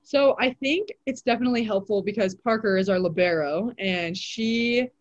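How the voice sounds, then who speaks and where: A woman's voice: medium pitch, moderate pace. Teenage girl, volleyball player, casual interview, lots of "like", slightly dull sound. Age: teens. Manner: chatty, many "like" fillers. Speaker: high school volleyball player.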